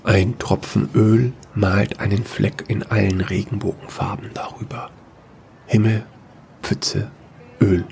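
Guy w a gravelly lowish voice reading a scifi fairy tale story, measured, bit of noise behind. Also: little pitch movement, a little mellow. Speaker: narrator. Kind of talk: scripted sci-fi fairy-tale audio story narration.

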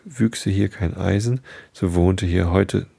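A man's voice: low-pitched, creaky, mellow, slightly rough, full character voice. A man voicing an uncanny old owl woken from sleep, hooting and drawing out his words. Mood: eerie. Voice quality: hooting, drawn-out. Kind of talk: bedtime story reading.

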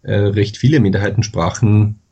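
A man's voice: fairly low pitch, steady tone, darkish tone, slightly rough, lo-fi sound. A man from western Austria with an Austrian accent, a podcast guest explaining at length in careful standard German with frequent hesitations. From Vorarlberg, western Austria. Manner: measured, explanatory, with many hesitations. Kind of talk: podcast interview.

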